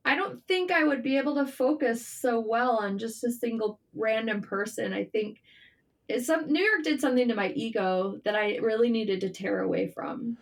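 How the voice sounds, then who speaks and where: Woman, high voice, casual interview guest, animated, candid, informal, self-deprecating. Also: moderate pace, vocal fry. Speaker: guest, writer and journalist.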